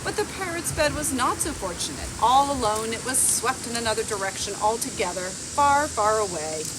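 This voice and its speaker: A woman around forty reading a picture book aloud in a measured, expressive storytelling way. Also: a little high. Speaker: library storytime reader. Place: outdoors on a garden bench. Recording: outdoor background noise with insects.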